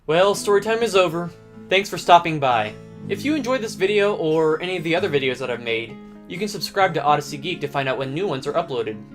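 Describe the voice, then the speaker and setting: A man's voice: a little high, lively intonation, fast talker. A man narrating a scripted personal story as a host. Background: plucked guitar music.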